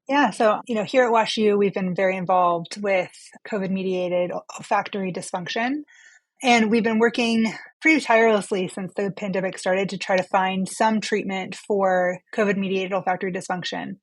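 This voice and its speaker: A woman's voice: medium pitch, fairly even intonation, normal speed, no room sound, low-quality recording. Woman guest, mid-range voice, dry close sound. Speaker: physician, interview guest. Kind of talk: conversational explanation in an interview.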